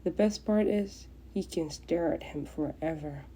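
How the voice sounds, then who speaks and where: A woman's voice: deep voice, unhurried, mellow, slight background noise. A woman narrating a piece of romantic fan fiction as a podfic reader.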